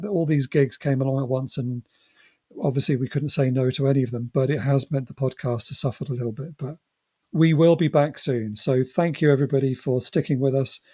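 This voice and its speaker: A man with a medium-pitched voice hosting a podcast. He chats casually and effusively, with a self-deprecating, appreciative way of talking. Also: warm tone. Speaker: podcast host. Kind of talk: casual chat.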